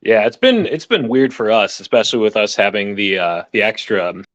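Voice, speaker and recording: A man's voice: on the low side, big pitch swings, brisk, fairly bright tone, no room sound, lo-fi sound. A man who is a football fan, chatting easily as a guest and confident about his team. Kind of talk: casual sports chat.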